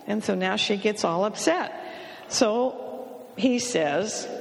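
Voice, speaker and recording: A woman counselor talks an audience through her advice at an unhurried, deliberate pace. Her delivery is dry and matter-of-fact, with a teasing edge aimed at the men, and her voice sits at an ordinary pitch. The sound carries the long reverb of a large hall. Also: gravelly.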